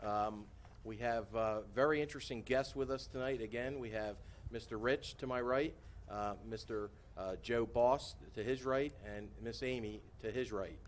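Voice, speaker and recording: Mid-pitched male host, casual, hesitant. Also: normal speed, a little hiss or hum. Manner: loose, hesitant. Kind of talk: show hosting.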